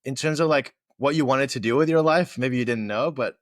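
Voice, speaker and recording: A man in his twenties with a Dutch-tinged accent, hosting a casual interview, friendly and quick to laugh as he asks open questions. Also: medium pitch, no room sound, studio quality. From born in Boston, raised in France, the US and Spain. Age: 20s. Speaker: host.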